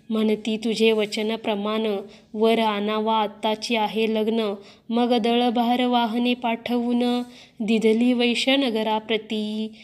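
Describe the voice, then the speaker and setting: Slow, level-toned woman reciting verse. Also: a little high, darkish tone. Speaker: reader of devotional verse. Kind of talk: measured recitation of religious verse.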